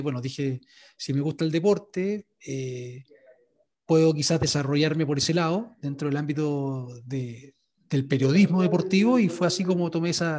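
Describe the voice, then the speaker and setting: A sports journalist being interviewed, telling his story in a relaxed way, with many fillers and restarts. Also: fairly high pitch. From Chile. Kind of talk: interview answers.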